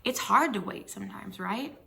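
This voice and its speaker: A woman's voice: medium pitch, normal speed, gravelly, sharp tone. A woman reading a Bible story aloud for young children, explaining things simply and putting questions to her young listeners.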